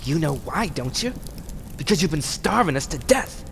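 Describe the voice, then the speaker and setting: A high-pitched, jumpy henchman from a vintage radio play, acted by a man. His delivery swoops with desperation and resentment, over clear background noise. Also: very animated, moderate pace, fairly bright tone, full character voice. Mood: angry, resentful. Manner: jittery, agitated, melodramatic. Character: Ward, nervous, resentful, desperate male henchman. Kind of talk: Golden Age radio drama acting. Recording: noisy radio drama recording.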